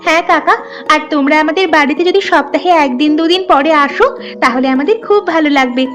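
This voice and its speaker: A woman's voice: sits high, quick pace, fairly bright tone, faint music behind. A woman voicing a trusting, kindly and polite young village girl in a cartoon story. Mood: grateful.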